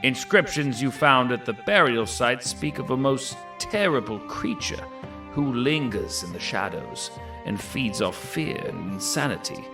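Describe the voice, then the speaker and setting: A man's voice: medium pitch, expressive, a little slow. An actor playing a scholar voicing his own warning letter in an audio drama, formal and ominous. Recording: distant voice under clear music.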